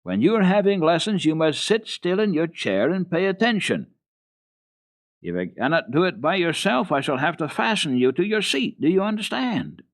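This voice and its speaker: Man acting stern, shrill-pitched scolding governess. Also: big pitch swings, moderate pace. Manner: indignant, exclamatory, commanding. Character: stern, scolding governess, easily outraged woman. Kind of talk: dramatic children's story reading.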